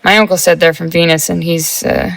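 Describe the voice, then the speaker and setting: A woman playing a flippant, stubborn teenage gang member in a 1950s audio drama, with an offhand, halting delivery. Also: on the low side, steady tone, gravelly, fairly bright tone. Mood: gossipy.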